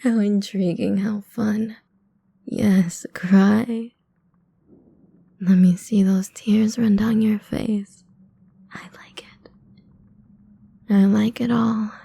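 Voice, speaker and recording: A woman's voice: medium pitch, fairly even intonation, extremely slow, long pauses, exaggerated voice. A woman playing a cruel, playful female vampire in an ASMR roleplay, taunting her prey in a condescending, half-whispered way. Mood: sadistic delight. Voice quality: dark, soft, breathy, creaky.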